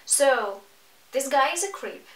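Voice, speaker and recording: A woman's voice: high-pitched, animated, slow, a bit bright. A sarcastic, exasperated woman giving casual reaction commentary as she hosts a book review video. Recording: echoey room, distant mic.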